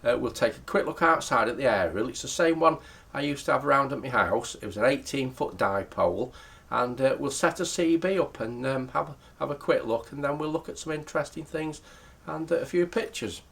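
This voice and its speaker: A man's voice: medium pitch, expressive, a little fast, vocal fry, a bit bright. A middle-aged British man giving an unscripted, matter-of-fact show-and-tell as a hobbyist repairer, with some hesitation. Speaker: radio repairer presenting a hobby video.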